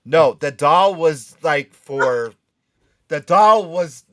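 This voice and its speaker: A man hosting a podcast with excitable, joking banter, rambling and repeating phrases for effect. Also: on the high side, extremely slow. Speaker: podcast host.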